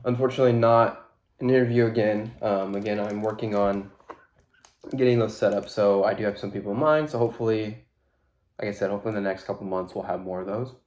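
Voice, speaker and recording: A man with a stuffy, congested nose hosts a solo podcast, rambling casually and easily with plenty of fillers. His voice sits on the low side, and it rings a little in an echoey room. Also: fast. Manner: relaxed, rambling, many fillers. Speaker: podcast host.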